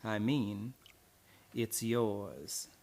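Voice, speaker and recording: An actor playing a bitter old servant in a radio drama, speaking in deliberate, folksy, menacing phrases. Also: male voice, fairly low pitch, animated, darkish tone, heavily acted. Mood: terse, ominous. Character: bitter old servant boatman, gloating with lifelong hatred.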